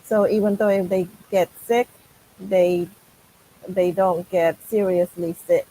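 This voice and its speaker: A woman's voice: fairly low pitch, little pitch movement, slow, mellow, a little hiss or hum, rough recording. A woman guest in a casual online chat, hesitant and full of fillers. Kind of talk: casual video-call chat.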